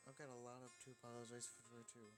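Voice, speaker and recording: A man's voice: mid-range voice, flat delivery, quick pace, music in the background, close to an everyday voice. A man voicing an eager, forgiving young male student in a visual novel, earnest and easygoing with slight hesitation. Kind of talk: visual novel character reading.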